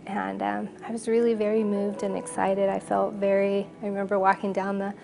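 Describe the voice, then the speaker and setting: A woman nurse from Northern California telling her story with gentle pauses in an interview. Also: mid-range voice, monotone, creaky, noisy background. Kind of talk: reflective interview testimony.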